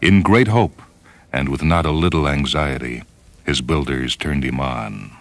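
A man reading a story as a radio host, in a measured, solemn, declamatory dramatic style. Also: rumbling low, vocal fry, no echo. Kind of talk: dramatic scripted storytelling narration. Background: orchestral and piano music.

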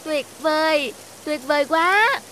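A woman voicing a small, young talking fish in an animated fairy tale, expressive and earnest. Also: high voice, slow pace, a little hiss or hum, heavily acted. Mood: chatty.

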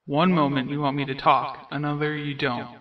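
A man voicing a world-weary, sardonic skeleton brother in a comic dub, with a hesitant, deadpan drawl. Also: fairly high pitch, moderate pace, distant mic, highs cut off, close to an everyday voice. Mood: sarcastic. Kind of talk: comic dub character acting.

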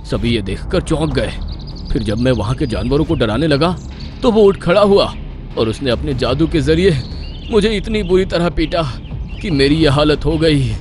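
Scared whiny cartoon lion, voiced by a man, fairly high voice. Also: animated, normal speed, a little mellow, slight background noise, close to an everyday voice. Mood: fearful. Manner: whining, fearful. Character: beaten, frightened male lion brother. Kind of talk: cartoon dubbing.

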